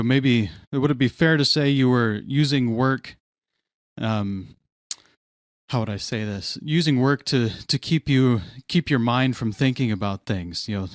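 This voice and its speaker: A man's voice: medium pitch, dry recording, studio quality. A man hosting a podcast interview, asking long, searching questions with plenty of fillers and restarts. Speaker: podcast host. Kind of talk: casual interview.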